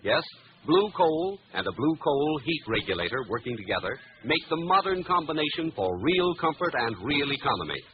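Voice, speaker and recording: A man with an American accent reading as an announcer and pitchman in 1940s radio advertising style, on an old recording. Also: huge pitch swings, normal speed, creaky, phone-quality sound, background noise.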